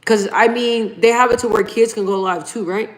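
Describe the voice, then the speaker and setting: A woman's voice: fairly high pitch, moderate pace, low-quality recording. Chatty woman in her thirties livestreaming. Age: 30s. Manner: chatty and informal, addresses viewers as "you guys". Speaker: livestream host. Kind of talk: casual livestream chat, reading viewer comments.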